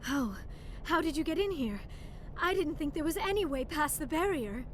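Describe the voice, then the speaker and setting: A high, earnest young alien archaeologist from a video game, anxious and formally spoken. The sound is echoey and a little distant, with noisy ambience around it. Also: female voice, expressive, normal speed. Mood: anxious, relieved.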